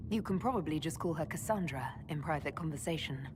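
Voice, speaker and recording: Low, lively young heroine. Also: female voice, fast talker, background noise. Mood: friendly. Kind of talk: video game cutscene dialogue acting.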